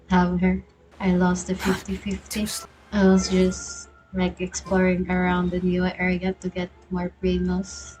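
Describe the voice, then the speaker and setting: Low-key, flat-toned woman streamer. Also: low-quality recording. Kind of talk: casual game reaction chat.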